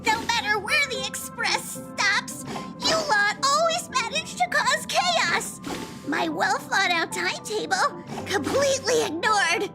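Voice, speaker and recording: A woman's voice: very high voice, lively intonation, takes it slowly, heavily acted. A woman voicing a small, childlike cartoon conductor creature in a game, petulant, proud and crying. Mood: scolding. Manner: speaks of self in third person, petulant. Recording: loud music behind the voice.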